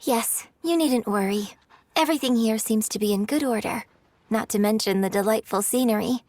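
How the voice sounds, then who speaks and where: A woman's voice: fairly high pitch, normal speed, a little mellow, dry recording, natural voice. A woman playing a refined, courteous young opera performer in a video game, speaking with polite, formal, elegant phrasing. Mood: serene, gracious. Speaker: game character voice. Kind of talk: scripted video game dialogue.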